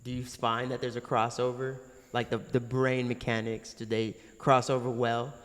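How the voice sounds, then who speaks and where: A man's voice: medium pitch, flat delivery, moderate pace, a bit bright. A man hosting a podcast interview, asking long, rambling questions in a casual way. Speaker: podcast host. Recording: long reverb on voice.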